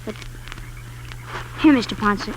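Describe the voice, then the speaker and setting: A woman acting a plucky, wary young American frontier boy in a 1950s radio western, speaking in a folksy, halting way. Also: lightly acted. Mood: eager. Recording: noisy old 1950s radio recording.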